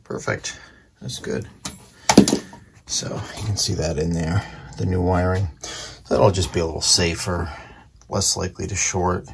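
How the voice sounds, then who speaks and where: A man with a very low voice talks casually through a hobby restoration. He murmurs short, practical remarks as he works, with faint noise and clicks around him. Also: steady tone, measured pace, gravelly. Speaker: hobbyist restoring an antique radio. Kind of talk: casual hands-on demonstration commentary. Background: clicks and an antique radio playing.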